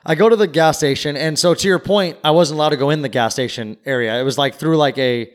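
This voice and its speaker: A man's voice: a little high, fast. A man casually telling a long, rambling story on a podcast and swearing freely. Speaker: podcast co-host. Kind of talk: casual chat.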